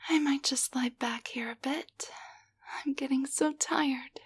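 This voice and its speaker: A woman playing a gentle, grateful young waitress turned into a tiny living doll, speaking softly and hesitantly in an intimate roleplay audio drama. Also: sits high, steady tone, measured pace. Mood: sleepy, grateful. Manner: soft, breathy, hesitant, tender delivery.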